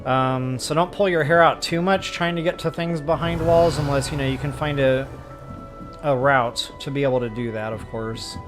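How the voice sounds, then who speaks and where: A man's voice: mid-range voice, fairly even intonation, normal speed. A man streaming a video game, giving casual, chatty and rambling gameplay commentary. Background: game music.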